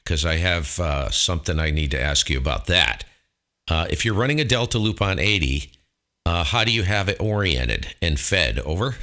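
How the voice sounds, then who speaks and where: A man hosting as a ham radio operator, talking technical matters in an informal, chatty way with pauses to think. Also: low voice, lively intonation, pushed and bright. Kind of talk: casual technical radio chat.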